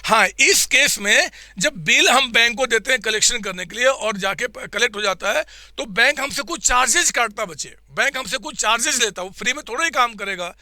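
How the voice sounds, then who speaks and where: A man teaching accounts in a live online class, with a slightly hoarse throat, quizzing his students and answering for them in a coaxing way. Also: very high voice, expressive, fairly quick, sharp tone, dry recording.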